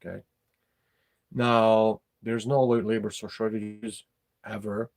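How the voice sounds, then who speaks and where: Casting: middle-aged man, low creaky voice, halting, blunt, off-the-cuff podcast monologue. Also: level tone, a little mellow. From former Yugoslavia. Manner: halting, repeats words, blunt and sweary. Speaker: podcast host. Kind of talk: casual opinionated monologue.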